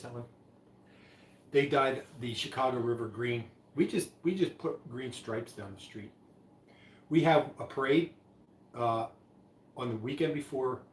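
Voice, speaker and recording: A man's voice: mid-range voice, slight background noise. A man hosting a live stream, chatting in a rambling, casual way about drinks. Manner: rambling, chatty, many restarts. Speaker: livestream host mixing drinks. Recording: echoey room, voice from far off.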